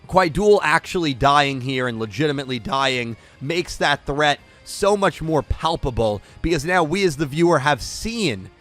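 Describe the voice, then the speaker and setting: A man's voice: on the high side, lively intonation, moderate pace, hardly any pauses, a bit bright, soft background music. A young man with a head cold, hosting an anime episode review in an enthusiastic, rambling way. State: congested from a cold. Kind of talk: casual solo video review.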